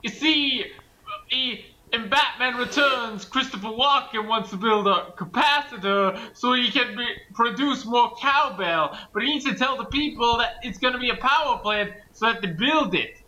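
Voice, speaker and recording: A man's voice: very high voice, moderate pace, sharp tone, muffled recording. A man co-hosting a commentary, chatting in a casual, rambling way.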